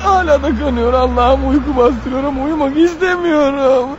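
A man's voice: very high-pitched, moderate pace. An actor playing a desperate, wounded killer on the run in a radio drama, agitated, paranoid and muttering to himself as his strength fails. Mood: exhausted, panicky. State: weak, bleeding, fighting off sleep. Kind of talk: radio drama acting with inner monologue. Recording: dull radio recording with faint noise.

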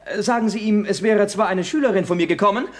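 A man's voice: sits high, fast talker. An actor playing a cheeky, flustered young man in a radio play, talking in a glib, stammering, hasty manner. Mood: bluffing, cheeky. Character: flustered, cheeky young bachelor son, a playwright. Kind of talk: German-language radio play acting.

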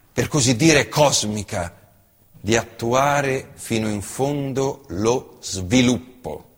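A man performing an impassioned theatrical monologue on stage, swinging from hushed passages to forceful outbursts. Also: medium pitch, slightly rough, in a large hall. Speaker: stage actor.

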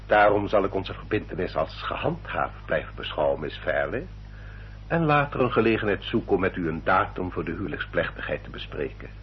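An actor playing a courtly, insistent gentleman suitor in a radio play, formal and emphatic. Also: deep voice, sing-song, a little fast. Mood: courteous, insistent. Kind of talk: Dutch radio play acting. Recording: dull, noisy old radio recording.

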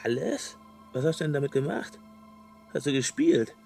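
A sardonic, doubting traveller, with a creaky voice. His pitch moves in a lively way as he probes, in a comic radio play with faint music. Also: normal speed. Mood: wry, sardonic. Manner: probing, wry, sardonic. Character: sceptical, sardonic male space traveller. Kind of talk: radio comedy drama acting.

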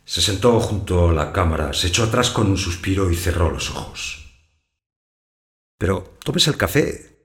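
An actor playing a wary householder who narrates his own story in a scripted audio drama, in a measured, observant way. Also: low-pitched, lively intonation. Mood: polite. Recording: echoey room sound, music behind.

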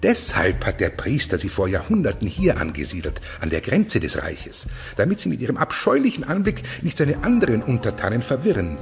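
An actor playing a fanatical, haughty eunuch official in a radio play, declaiming and preaching with contempt. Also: male voice, mid-range voice, huge pitch swings, a little fast, hardly any pauses, faint music behind. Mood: contemptuous. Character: fanatical, haughty male eunuch official ruling over monsters. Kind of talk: German radio play acting.

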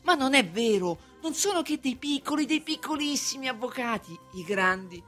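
An anxious merchant of a radio play, acted by a woman, speaks in a high voice with faint music behind. The delivery is confiding and insistent, with the submissive fretting of an obsessed client. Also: lively intonation, normal speed, fairly bright tone. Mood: awed, tormented. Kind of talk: stage acting in a radio drama.

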